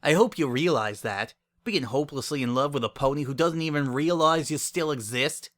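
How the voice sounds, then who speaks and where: A man's voice: on the high side, moderate pace, a bit bright, natural voice. A man voicing a haughty, scornful young female pony with a pompous, sniffy manner in a dramatic story reading. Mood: smug, airy.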